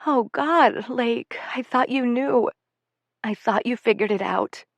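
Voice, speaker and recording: A woman voicing a middle-aged mother who is grieving and apologetic, speaking softly and pleadingly. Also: on the high side, slow, creaky, no room sound, pitched above the performer's own voice. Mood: tearful.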